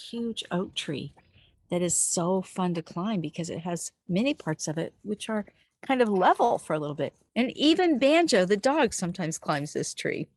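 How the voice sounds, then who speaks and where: A woman reading a picture book aloud to young children outdoors, expressively, pausing to put questions to the listener. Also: on the low side, a little mellow, no room sound. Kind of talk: animated read-aloud storytelling for young children. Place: outdoors in a backyard with trees.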